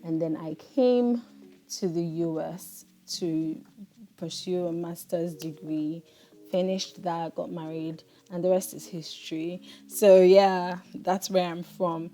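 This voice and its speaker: A woman's voice: fairly low pitch, fairly even intonation, slow, warm tone. A woman in her thirties with a Nigerian accent, chatting to viewers in a reflective, casual voice-over while she makes soap. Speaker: soap maker and channel host.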